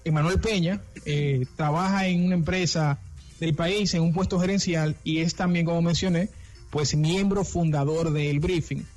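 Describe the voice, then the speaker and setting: A Dominican man co-hosts an interview in a high voice. He talks at length and restarts his phrases often, with faint music underneath. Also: fairly even intonation, moderate pace, darkish tone. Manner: chatty, many restarts, introduces guests at length. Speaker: co-host. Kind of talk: radio interview. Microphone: remote call.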